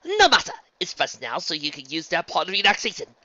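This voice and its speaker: A man's voice: on the high side, wildly swooping pitch, fairly quick, fairly bright tone, no echo, lo-fi sound, voice pushed up, exaggerated voice. Man putting on a gushing, refined lady pony. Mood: cheerful coaxing. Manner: gushing, genteel, uses endearments. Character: refined, bossy, coaxing lady pony, theatrically gracious.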